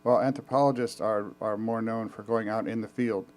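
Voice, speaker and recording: A man's voice: lowish voice, steady tone, moderate pace, a little mellow. A man co-hosting a podcast who chats casually, with an emphatic, wry delivery. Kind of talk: casual chat.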